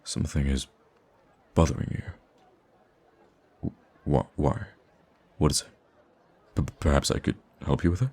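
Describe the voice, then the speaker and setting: A man playing a soft-spoken, wistful and gracious young prince in an ASMR roleplay, speaking gently and haltingly. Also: heavily acted. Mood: concerned, shaken. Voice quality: very deep, gravelly, husky. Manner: hesitant, gentle, halting with sighs and stammers.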